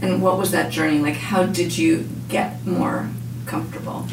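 Gentle probing woman host, low voice, distant mic with noise. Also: fairly even intonation, normal speed, creaky, reverberant. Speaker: interviewer, host. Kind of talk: interview questions.

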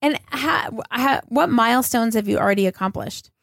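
A woman's voice: on the high side, expressive, normal speed, creaky, fairly bright tone, no echo, clean studio sound. A woman hosting a podcast, interviewing in a casual, effusive, informal way. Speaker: podcast host.

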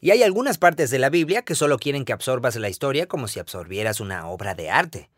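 A man's voice: mid-range voice, very animated, quick pace, dry recording, clean studio sound. A man co-hosting a podcast, asking clarifying questions and restating the ideas. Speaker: podcast co-host. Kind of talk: conversational discussion.

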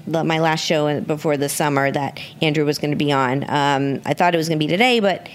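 A woman's voice: sits low, brisk. A woman hosting a podcast in a conversational interview style. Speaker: podcast host.

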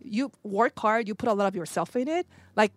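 A woman chef from Korea answering an interview question thoughtfully, halting, with many filler words. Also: medium pitch, animated, no echo. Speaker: chef guest.

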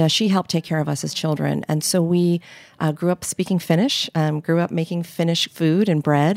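A middle-aged woman from Northern Michigan, a podcast guest telling relaxed, wry stories about growing up. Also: low voice, no echo. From Northern Michigan, United States. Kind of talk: conversational interview storytelling.

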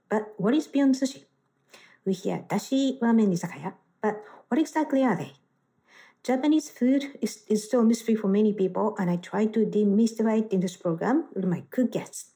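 A woman's voice: medium pitch, dark tone. A middle-aged woman hosting a live radio talk show, chatty and laughing easily. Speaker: podcast host.

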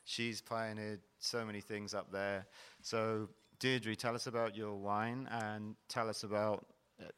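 Middle-aged guy hosting a wine panel, smooth clear voice, pretty monotone, explaining the wines. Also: lowish voice, unhurried. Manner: explanatory, descriptive tasting talk. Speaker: panel host. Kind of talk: wine tasting presentation. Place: event hall at a wine fair.

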